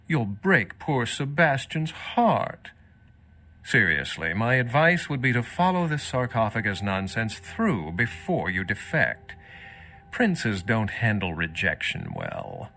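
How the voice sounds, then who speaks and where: An actor playing a wry, worldly old vampire scholar in a video game, speaking in an erudite, measured and sardonic way. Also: male voice, medium pitch, wildly swooping pitch, a bit bright, full character voice. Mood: sardonic amusement.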